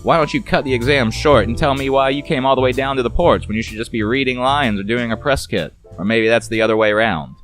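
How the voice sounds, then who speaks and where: A man's voice: medium pitch, fast talker, few pauses, fairly bright tone, faint music behind, voice pushed up, close to an everyday voice. A man playing a weary, good-natured ex-cop captain in a noir audio story, with a wry, wisecracking manner. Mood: defensive, dry.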